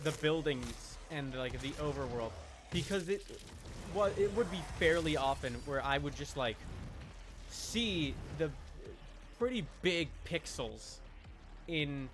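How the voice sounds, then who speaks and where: A man's voice: a little high, animated, takes it slowly, noisy background. A man chatting casually on a gaming livestream, rambling and emphatic as he corrects himself, with faint game sound behind him. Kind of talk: casual gaming stream chat. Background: video game sound.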